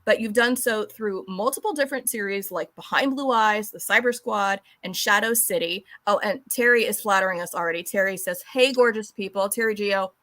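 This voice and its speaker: A woman's voice: mid-range voice, fairly quick, few pauses, fairly bright tone, no echo. A woman from California hosting a casual interview, chatty and laughing, often saying "like". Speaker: podcast host.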